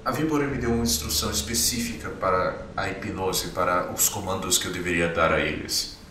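A man, running a tabletop game in a measured, explanatory way. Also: low voice, fairly even intonation, reverberant, recorded from a distance, noisy background. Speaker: game master. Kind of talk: narrating a tabletop game and voicing a vampire.

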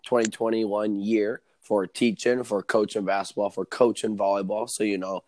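Hoarse, low-voiced podcast co-host. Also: male voice, normal speed, no room sound. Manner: excited, rattles off stats. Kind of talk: casual sports podcast chat.

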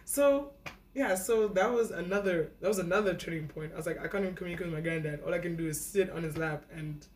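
A young woman from South Africa, a podcast guest, telling a personal story in a casual, animated way with lots of fillers. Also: on the low side, fast, slight background noise. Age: young adult. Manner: animated, acts out her younger self.

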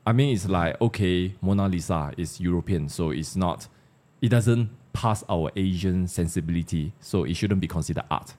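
Low, mellow Malaysian man hosting a talk. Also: normal speed. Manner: conversational, poses questions to co-panelists. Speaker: discussion host. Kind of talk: casual panel discussion.

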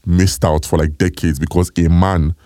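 A man, a food critic guesting on a podcast, telling stories in lively casual chat. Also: moderate pace, dry recording, studio quality. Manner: animated storyteller, jumps high when excited.

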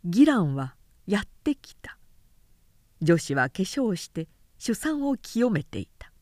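Woman narrator, unhurried pace, medium pitch, dramatic literary storytelling, scripted reading, very dry recording. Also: very animated, darkish tone, no echo. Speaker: narrator, professional reader.

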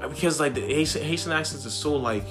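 A man's voice: fairly high pitch, fast, a bit bright, soft background music. A man who is a recurring guest, talking casually, hesitant and evasive, laughing things off. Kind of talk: casual chat.

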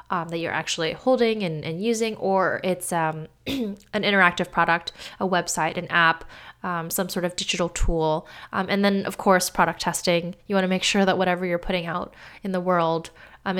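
A woman hosting a solo podcast, explaining things in a clear, measured way, her voice gravelly from a lingering cough. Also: on the low side, fairly bright tone. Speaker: podcast host.

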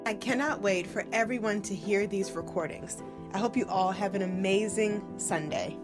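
A woman hosting a podcast, introducing the episode and asking listeners questions in a measured way. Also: mid-range voice. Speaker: podcast host. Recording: music bed underneath.

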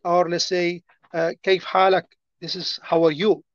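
A man with an Arabic accent answering interview questions in a halting way, full of fillers and restarts. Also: high voice, level tone, no echo, lo-fi sound. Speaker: interview guest.

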